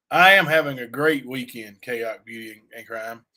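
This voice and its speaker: Man, raspy voice, casual livestream chatter, lots of fillers, medium pitch. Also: bright, forceful tone. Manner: rambling, many fillers and asides to chat. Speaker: livestream cohost; youth basketball coach.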